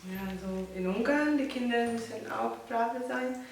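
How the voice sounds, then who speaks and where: A woman's voice: fairly high pitch, moderate pace, gravelly, soft background music. A young woman from Hungary with a Hungarian accent, a native Hungarian speaker chatting in German, often searching for words and laughing. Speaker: host. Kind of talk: casual chat. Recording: echoey room, mic at a distance.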